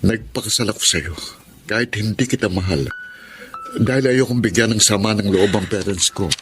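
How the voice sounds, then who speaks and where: A man's voice: fairly low pitch, moderate pace, over music, natural voice. A man playing an unfaithful, apologetic husband in a Filipino radio drama, earnest and dramatic, with a Filipino accent. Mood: apologetic confession.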